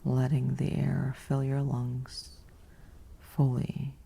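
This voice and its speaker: A woman guiding a sleep meditation in a soft, whispery, soothing voice with long pauses, like ASMR bedtime narration. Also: a bass voice, monotone, creaky, slightly rough.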